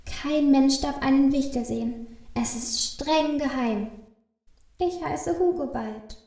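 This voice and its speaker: A woman's voice: sits high, takes it slowly, warm tone, roomy sound. A woman playing a small, fretful, guilty male Christmas elf who stammers in a children's radio play. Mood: anxious, secretive. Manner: fretful, stammering, repeating exclamations of dismay.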